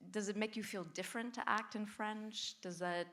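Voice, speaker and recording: A woman's voice: mid-range voice, steady tone, moderate pace, fairly bright tone. A woman moderator interviewing a guest on a festival stage, asking appreciative questions.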